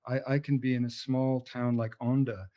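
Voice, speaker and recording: A man's voice: medium pitch, flat delivery, moderate pace, lo-fi sound. A man, an author and anthropologist, answering interview questions in a passionate, discursive way, often restarting his sentences.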